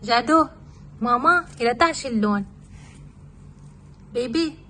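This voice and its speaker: High-voiced Lebanese woman, noisy homemade recording. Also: expressive, moderate pace, pushed and bright. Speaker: mother making kids' craft videos. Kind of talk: casual homemade craft demonstration, talking to her baby.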